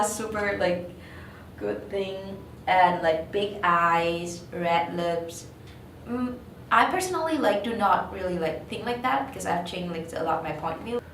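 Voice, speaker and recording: Young woman, Vietnamese accent, slightly low voice, halting casual delivery; distant mic. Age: young adult. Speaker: student interviewee. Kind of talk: casual interview answers. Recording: echoey room, distant mic, faint noise.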